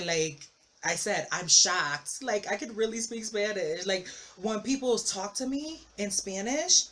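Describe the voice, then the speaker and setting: A young adult vlogger giving a casual, rambling update, full of "you know" and "like", with excitable outbursts. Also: medium pitch.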